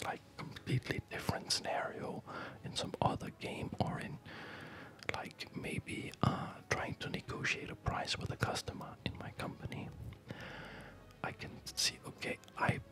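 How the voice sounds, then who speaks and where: A man whispering a rambling, reflective ASMR commentary while he plays a game, with a breathy voice. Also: pushed and bright, rough recording.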